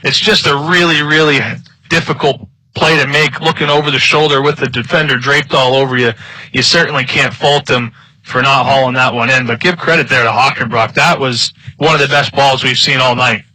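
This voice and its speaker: A man's voice: mid-range voice, steady tone, fairly quick, sharp tone, no room sound, rough recording. A man with an American accent giving color commentary and analysis during a live American football broadcast.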